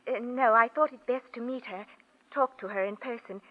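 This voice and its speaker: A woman's voice: fairly high pitch, normal speed, close to an everyday voice. An actress playing a polite young woman in a 1940s radio drama, with a courteous, apologetic and hesitant manner. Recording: dull vintage radio recording.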